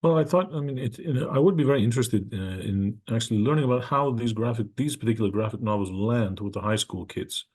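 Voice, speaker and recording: A man's voice: mid-range voice, quick pace, few pauses, a little mellow. A man in the audience of an online panel asking a thoughtful, hesitant question over a video call.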